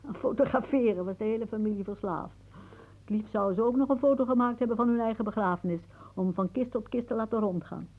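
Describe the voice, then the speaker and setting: An actress playing a whimsical, dreamy elderly aunt in a radio play, musing theatrically and drifting into hushed asides. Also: female voice, on the high side, quick pace, poor audio. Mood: wry, reminiscing.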